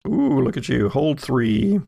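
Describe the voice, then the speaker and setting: A man narrating a tabletop horror game as game master, setting scenes in a halting way. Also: medium pitch, sing-song, vocal fry, mellow, dry recording.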